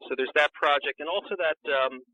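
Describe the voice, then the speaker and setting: A man's voice: fairly high pitch, fairly even intonation, a little fast, sharp tone, dry recording. A man speaking as an interview guest, a book publisher, answering casually over a tinny call line.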